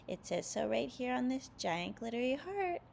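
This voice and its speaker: Woman voicing a gushing, high-pitched lovestruck little girl. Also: very animated, vocal fry, a little mellow, a little hiss or hum, heavily acted. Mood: adoring, insistent. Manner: cooing, squealing, drawn-out words.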